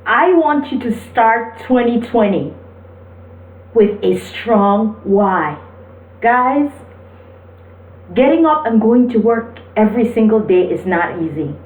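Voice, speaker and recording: A woman from Cameroon gives an earnest, encouraging motivational talk with personal storytelling, speaking deliberately as the host of a video. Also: fairly high pitch, lively intonation. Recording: roomy, distant mic, thin phone-like sound.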